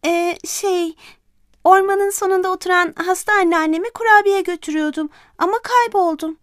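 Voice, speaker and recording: A woman's voice: extremely high pitch, steady tone, moderate pace, no room sound, clean studio sound. A woman voicing an innocent, polite little girl in a children's fairy tale cartoon, with a sweet, naive manner. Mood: sheepish.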